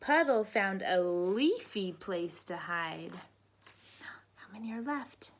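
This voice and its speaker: A woman reading picture books aloud to children in a teacherly way, pausing to prompt listeners with questions. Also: medium pitch, expressive. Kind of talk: read-aloud storybook narration with counting asides.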